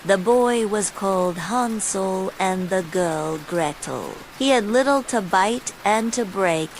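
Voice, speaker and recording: A woman narrating a fairytale as a bedtime story in a calm, soothing manner. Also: medium pitch, slow pace, low-quality recording. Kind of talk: scripted fairytale audiobook narration. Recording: steady rain noise over the voice. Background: rain sounds.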